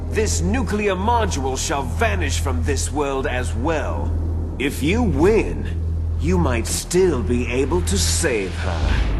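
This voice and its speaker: Theatrical villain, wide-swinging delivery. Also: male voice, medium pitch. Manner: grandiose, deliberate, taunting. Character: vengeful male villain, theatrical, coldly menacing, bitter. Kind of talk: dramatic video game cutscene acting.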